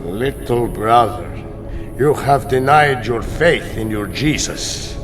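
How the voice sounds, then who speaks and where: A man acting in a radio drama as a proud, amused Sultan, speaking in a grand, deliberate manner. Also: mid-range voice, expressive, music in the background. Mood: stern, superior.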